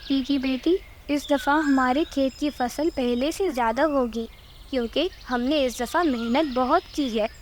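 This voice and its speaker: Woman playing a high-voiced, humble mother sparrow. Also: measured pace, slight background noise. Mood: hopeful, kindly. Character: honest, humble mother sparrow, kindly and hardworking. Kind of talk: Hindi cartoon dubbing.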